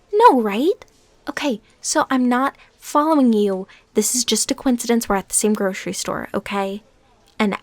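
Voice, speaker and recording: A woman playing a lovesick, defensive and unstable former stalker in an intimate audio drama, speaking in a halting, emotionally intense way. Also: a little high, no echo, lightly acted. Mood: panicked, defensive. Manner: halting, pleading, emotionally intense, drops into whispers.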